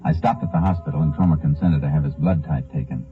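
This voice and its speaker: Radio crime drama lead: hard-nosed Ranger, deep and commanding, terse delivery, narrow old broadcast sound. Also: male voice, quick pace. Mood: grave narration. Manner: terse, commanding, clipped questioning. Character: tough, terse Texas Ranger investigating a killing. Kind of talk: 1950s radio drama acting and first-person narration. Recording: muffled vintage 1950 radio recording, music behind.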